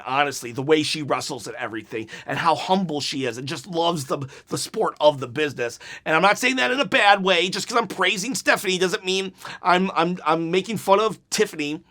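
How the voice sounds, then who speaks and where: Man, wrestling channel host, bright forceful tone, slightly high, hoarse, rambling excited review. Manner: excitable, rambling, repeats himself. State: voice going hoarse. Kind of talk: unedited post-show review.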